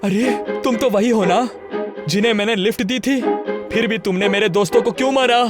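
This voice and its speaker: A man's voice: high-pitched, normal speed, over loud music, natural voice. A man acting a frightened young guy, urgent. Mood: fearful, accusing. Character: frightened, short-tempered young male friend in horror story. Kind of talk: animated horror story dialogue.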